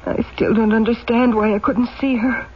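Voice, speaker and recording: A woman's voice: a little high, steady tone, moderate pace, natural voice. A woman playing a frail, anxious young mother, sick and pleading, in an old-time radio drama. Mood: anxious longing. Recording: muffled, faintly noisy old radio recording.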